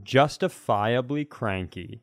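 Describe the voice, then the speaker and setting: A man's voice: mid-range voice, expressive, takes it slowly, dry recording. Man in rambling, wry podcast chat, dry sound. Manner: rambling, tells anecdotes with wry speculation. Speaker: podcast co-host and comedian. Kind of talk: casual comedic chat.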